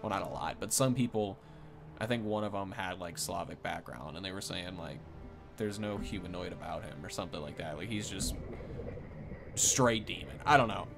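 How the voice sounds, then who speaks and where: A man's voice: sits low, normal speed, music in the background. A young man hosting a gaming video, thinking aloud in casual, rambling, unscripted commentary. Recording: clear background noise from game. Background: video game sounds.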